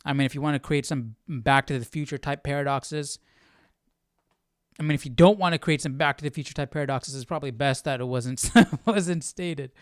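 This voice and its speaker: A man's voice: medium pitch, brisk, no echo, studio quality. A man hosting a livestream Bible study, talking informally and thinking aloud. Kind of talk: casual livestream chat and study commentary.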